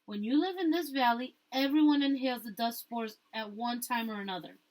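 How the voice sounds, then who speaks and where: A woman's voice: on the high side, fairly quick, darkish tone, close to an everyday voice. Woman reading as a grave young male doctor.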